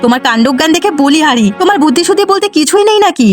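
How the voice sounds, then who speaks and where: Woman playing a shrill, fast-nagging village wife. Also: expressive, soft background music. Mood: angry scolding. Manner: sharp-tongued, nagging. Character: greedy, scolding, short-tempered village wife. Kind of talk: Bengali cartoon voice acting.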